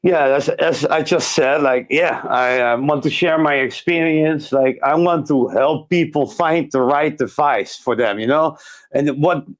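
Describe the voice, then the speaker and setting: Guy chatting on a podcast, slightly high voice, lots of uhs and little laughs. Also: unhurried. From Netherlands. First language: Dutch. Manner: chatty, chuckles often, many fillers. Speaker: podcast guest and YouTuber. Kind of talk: casual chat. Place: his home studio.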